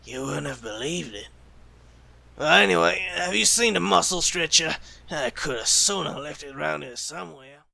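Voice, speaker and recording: A man playing a gruff, bloodthirsty veteran secret agent in an audio roleplay, menacing, sardonic and condescending as the bad cop. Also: a little high, animated, normal speed, bright, forceful tone, no echo, exaggerated voice. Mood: sardonic menace. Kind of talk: ASMR roleplay audio drama.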